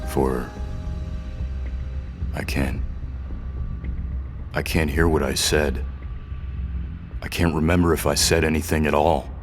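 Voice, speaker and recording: An actor playing a haunted, defiant rebel prisoner whose memory is fading, speaking in a halting, strained and stubborn way in an audio drama. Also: male voice, very deep voice, flat delivery, faint music behind. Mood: struggling, uncertain. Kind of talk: scripted audio drama acting.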